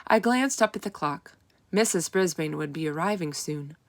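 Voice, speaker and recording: A woman reading a children's storybook aloud as narrator, with expressive, deliberate emphasis. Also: low voice.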